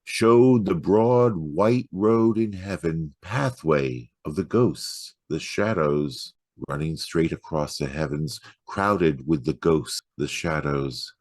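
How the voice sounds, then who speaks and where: A man giving a dramatic poetry reading in a measured, rhythmic storytelling delivery. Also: a bass voice, a little mellow, no room sound, clean studio sound. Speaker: poetry reader.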